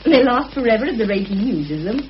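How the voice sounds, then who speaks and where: A sociable village hostess, played by an actress, gently scolding and teasing. Her voice sits fairly high and swoops about expressively, on a noisy old radio drama recording. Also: lively intonation, a little fast, lo-fi sound, lightly acted. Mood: chatty. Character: chatty, tartly teasing village housewife and hostess. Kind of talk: British radio drama acting.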